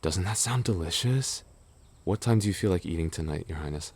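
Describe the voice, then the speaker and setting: A man playing a devoted, easily flustered young knight in an intimate roleplay audio drama, speaking politely and haltingly with soft attentiveness. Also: low voice, animated, breathy edge, heavily acted. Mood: attentive.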